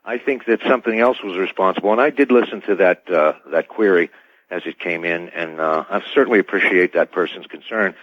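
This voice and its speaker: A man's voice: low-pitched, fairly quick, no echo. A man speaking as an expert radio guest, answering in a formal, wordy, official-sounding way. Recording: dull, band-limited radio line.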